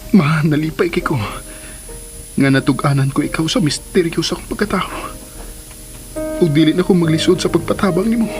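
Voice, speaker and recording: Man playing earnest pleading son in a radio drama, slightly high voice, clear music under it. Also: fairly quick, long pauses, natural voice. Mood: earnest, confiding. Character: earnest grown son, reincarnated lover, confiding in his mother.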